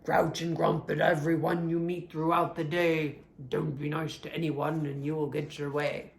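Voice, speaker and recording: A woman's voice: sits low, level tone, moderate pace, gravelly. A woman voicing nasty, gleeful little gloom creatures from a storybook, chanting in a taunting sing-song. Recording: echoey room, faint background noise.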